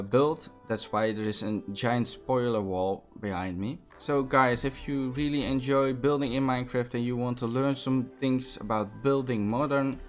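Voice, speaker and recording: Upbeat Dutch-accented man, Minecraft tutorial host. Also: medium pitch. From Netherlands. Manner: enthusiastic, with frequent fillers and restarts. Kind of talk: casual gameplay commentary.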